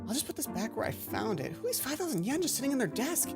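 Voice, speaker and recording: A woman's voice: high voice, sing-song, fast talker, music in the background. A woman hosting a let's play, mixing casual, sweary commentary with reading a visual novel's narration aloud.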